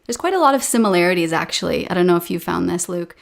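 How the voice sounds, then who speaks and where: A woman in her thirties with a Canadian accent, a guest chatting casually in a relaxed way with fillers, over a video call. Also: on the low side, fast talker, gravelly. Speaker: podcast guest, English teacher. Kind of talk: casual interview chat. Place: home room with a painting behind her.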